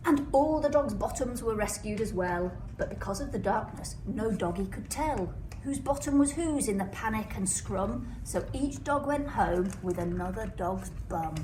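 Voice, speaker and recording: A woman's voice: mid-range voice, animated, moderate pace. Woman reading a storybook, echoey and distant. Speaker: teacher reading aloud. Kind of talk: children's storybook read-aloud in rhyming verse. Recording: echoey room, distant mic, noisy background.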